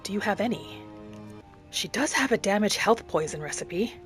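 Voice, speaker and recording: Female gameplay storyteller, mid-pitched, earnest and measured, speaking in character over soft music. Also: animated. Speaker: gaming channel host. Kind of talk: in-character roleplay gameplay narration. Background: background music.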